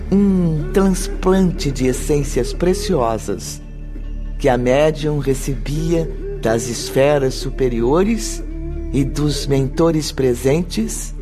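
A man giving solemn, dramatic scripted narration for a radio drama. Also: fairly high pitch. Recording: music under the voice.

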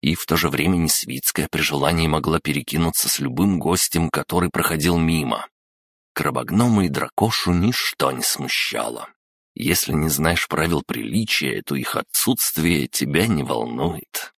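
Male audiobook narrator, extremely deep voice, dry close recording. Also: animated, moderate pace, vocal fry, fairly bright tone, dry recording. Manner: reads all characters himself.

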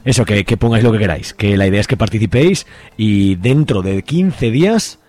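A man's voice: on the low side, lively intonation, fairly quick, a little mellow. Chatty Spanish man hosting radio. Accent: Spanish, from Spain. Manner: chatty, colloquial, joking. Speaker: radio show host. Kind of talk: casual, playful radio presenting.

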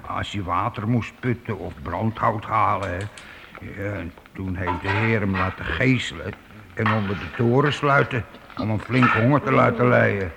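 An actor in a radio play, playing a simple, kind-hearted castle gatekeeper who talks in a hesitant, folksy, confiding way. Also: male voice, on the low side, moderate pace, gravelly. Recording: noisy old radio recording.

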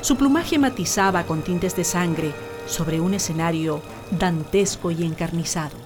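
A woman's voice: fairly low pitch, measured pace, music in the background, natural voice. A woman reading scripted radio drama narration in a solemn, literary manner.

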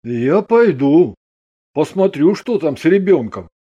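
A man voicing a gruff, wry but steady husband and father in a dramatised story. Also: a little high, measured pace, highs cut off, exaggerated voice. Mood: calm, resigned.

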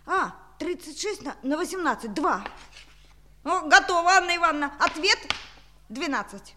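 A woman playing a hapless, boastful schoolboy in a children's audio play, excitable, muttering and exclaiming. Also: high-pitched, normal speed, a little hiss or hum. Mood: muttering, confident.

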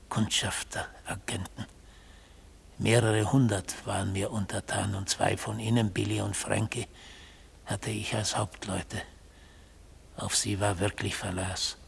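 An old mystical bomber god under interrogation. His voice is very husky and full of gravel. He speaks with deliberate irony and complete self-possession, in the style of a 1970s radio drama. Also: lowish voice, heavily acted. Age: older. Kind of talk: 1970s literary radio play acting.

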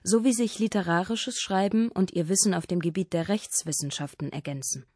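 Low-voiced woman narrating, close and dry. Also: fairly quick, a little mellow, dry recording. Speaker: radio feature narrator. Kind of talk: scripted narration.

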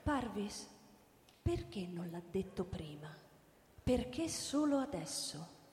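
A woman questioning someone with quiet reproach in a radio play. She speaks slowly in a soft, dark tone. The sound is very echoey, like a large hall. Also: mid-range voice, very animated. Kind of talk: radio drama acting. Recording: echoey hall with long reverb.

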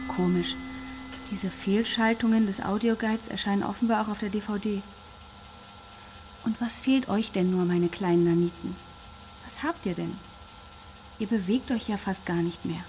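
A woman's voice: medium pitch, moderate pace, pauses often, a little mellow, slight background noise, close to an everyday voice. A woman playing an inquisitive guide who narrates a scripted radio drama. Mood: puzzled.

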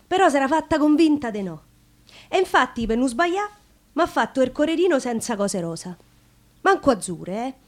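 A woman's voice: high-pitched, very animated, normal speed. An actress playing a wry, defiant Roman woman in a colloquial, ironic theatre monologue, with a Roman accent. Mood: wry, confiding.

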